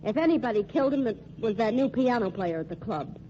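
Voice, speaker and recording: A woman's voice: a little high, animated, quick pace, dark tone, natural voice. Woman acting a brassy nightclub singer, dull old recording. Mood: grudging, nervous. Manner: slangy, curt, defensive. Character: tough, brassy, wary female nightclub singer. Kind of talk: old-time radio crime drama acting. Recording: dull lo-fi old radio recording, faint noise.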